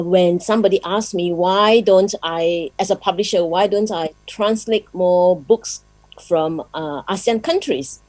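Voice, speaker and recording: A woman with a fairly low voice and a Malaysian accent speaks informally as a guest. She pauses between phrases in a conversational way, recorded very dry. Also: darkish tone, no echo, poor audio. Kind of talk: informal speech.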